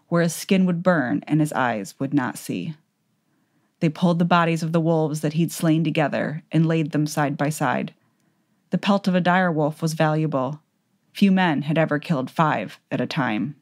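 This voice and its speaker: Female narrator for fantasy audiobook: low register, measured, dramatic delivery.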